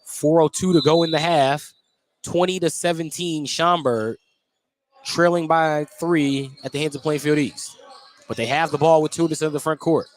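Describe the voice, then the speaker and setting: A man's voice: on the high side, steady tone, normal speed. A man doing live radio play-by-play of a basketball game in clipped, descriptive running calls. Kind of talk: live radio sports commentary.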